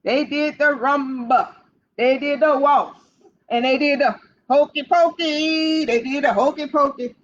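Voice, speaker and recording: A woman's voice: sits high, measured pace. A woman hosting a children's storytime, reading aloud in a playful way and putting questions straight to young listeners. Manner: talks directly to child listeners, asking questions; animated, playful. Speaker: library storytime host. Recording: dull lo-fi livestream audio.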